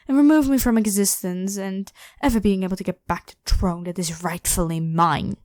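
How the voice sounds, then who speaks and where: An ancient, ruthless female pharaoh performed by a woman in an ASMR roleplay. She speaks slowly in a mid-pitched voice, controlling and imperious, with theatrical scorn for the listener. The sound is dry, with no room around it. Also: darkish tone, no echo, close to an everyday voice. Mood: scheming, defiant. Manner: haughty, commanding, theatrically scornful.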